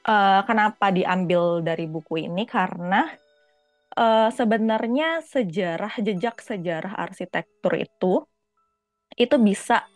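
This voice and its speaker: A woman lecturer with a cold and a stuffy nose, giving an online lecture with many fillers, and clearing her throat often. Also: on the low side, no room sound.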